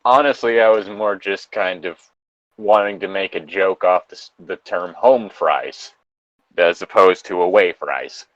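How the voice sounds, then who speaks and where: A man co-hosting a podcast, reading aloud and joking casually in a wry, halting way on a slightly dull microphone. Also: sits low, a bit bright, dry recording. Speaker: podcast co-host reading fan fiction aloud.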